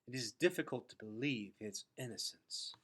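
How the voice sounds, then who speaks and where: A man's voice: mid-range voice, normal speed, husky, lightly acted. A man voicing a large, suspicious red bat in a storybook, speaking in a formal, accusing manner. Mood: stern accusing.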